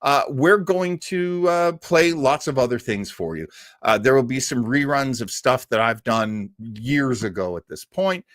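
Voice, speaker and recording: A man in his sixties with a very animated, swooping delivery hosts a casual livestream. He rambles enthusiastically and effusively, and the sound is close and dry. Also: mid-range voice, a little slow, no echo. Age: 60s. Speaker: host of an online show.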